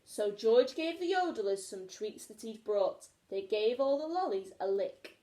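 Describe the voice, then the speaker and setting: A woman's voice: a little high, lively intonation, a little slow, warm tone. A woman teacher reading a children's story aloud expressively, recorded in an echoey room.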